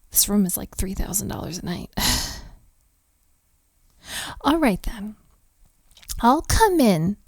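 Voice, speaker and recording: Woman acting a slow-talking, flustered, defensive hotel maid. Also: medium pitch, darkish tone, dry recording, clean studio sound, exaggerated voice. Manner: exasperated, sighing, sarcastic, conversational. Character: flustered, defensive, overworked young hotel maid, sharp-tongued. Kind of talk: romantic roleplay audio drama.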